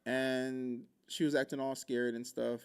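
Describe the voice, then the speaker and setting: A man from Hawaii spins a casual story on a podcast, his voice sitting in an ordinary middle register with a gravelly, fry-touched texture. He tells it loosely and playfully, dropping in jokes and little vocal sound effects as he goes. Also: medium pitch, unhurried. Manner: animated, joking, with vocal sound effects and laughs. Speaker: podcast speaker.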